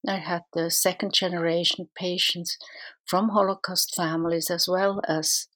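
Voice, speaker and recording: A middle-aged woman with a Swiss accent speaks in a somewhat low voice. She answers interview questions as a psychoanalyst, thoughtful and earnest, often restarting her sentences as she searches for words. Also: fairly bright tone. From Switzerland.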